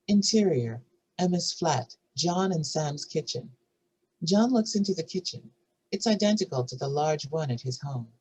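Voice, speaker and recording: A narrator delivers screenplay directions in a scripted reading. The voice is husky and sits at a middle pitch. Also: darkish tone, rough recording.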